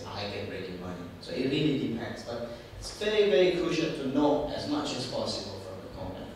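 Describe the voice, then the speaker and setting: A man's voice: medium pitch, distant mic, a little hiss or hum. Italian-accented man lecturing in an echoey hall. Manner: conversational, repeats words, many fillers. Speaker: seminar speaker on job interviews. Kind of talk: informal career seminar talk. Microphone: distant from the microphone.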